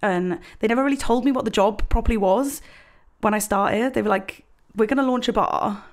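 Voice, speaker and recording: A woman's voice: fairly high pitch, fairly quick. A woman in her twenties from Wales chatting casually as a podcast guest, telling stories and acting out what people said. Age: 20s. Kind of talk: casual personal chat.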